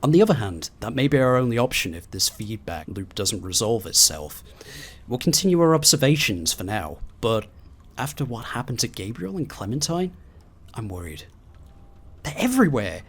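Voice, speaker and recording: A man doing video game commentary and reading in-game documents aloud in a theatrical, expressive way. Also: mid-range voice, breathy edge. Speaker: gaming video host.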